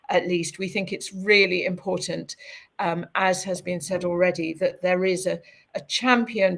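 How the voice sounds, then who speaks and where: A woman hosting a webinar panel over a video call, her voice going croaky, talking haltingly with many fillers. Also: fairly low pitch. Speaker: webinar host.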